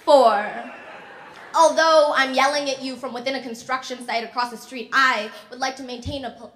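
A woman performing feminist spoken-word poetry in a theatrical, declamatory way that swings between soft and yelled. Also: sits high, steady tone, normal speed. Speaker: slam poet. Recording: echoey live stage, noisy room.

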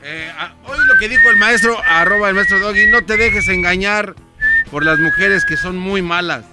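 A man playing an excitable, fawning comic sidekick in radio show banter. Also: high-pitched, normal speed, loud music behind the voice, natural voice. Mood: bumbling. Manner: fawning, cheering the host.

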